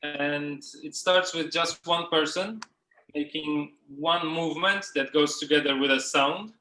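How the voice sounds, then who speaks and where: A man's voice: a little high, steady tone, a little slow, sharp tone, echoey room, rough recording. A man leading a group workshop, giving casual instructions in a loose, informal way, as if thinking aloud. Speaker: workshop facilitator. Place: indoors, on a group video call.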